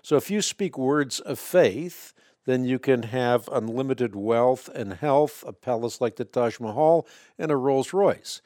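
A man whose first language is Dutch, hosting a call-in radio show and calmly explaining faith questions, often citing scripture. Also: medium pitch, no room sound, clean studio sound. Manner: measured, explanatory, quotes scripture. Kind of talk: Bible answer call-in show.

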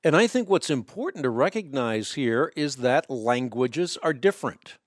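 A man hosting a call-in radio show and answering callers' questions in fluent, emphatic explanations, whose first language is Dutch, often restarting with quick repeated words. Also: mid-range voice, lively intonation, dry recording, clean studio sound. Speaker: radio talk show host.

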